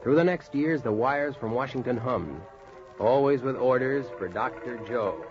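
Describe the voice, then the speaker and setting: An American man acting an earnest, determined doctor and storyteller in a measured 1940s radio style. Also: medium pitch, animated, natural voice. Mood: stirring, solemn. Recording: muffled vintage radio, music behind.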